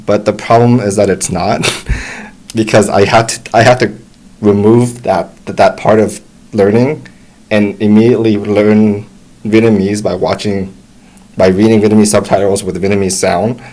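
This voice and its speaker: Low-voiced American man, easygoing tutor. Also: moderate pace, low-quality recording. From United States. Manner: relaxed, encouraging, many restarts. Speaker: English tutor. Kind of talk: casual talk to camera.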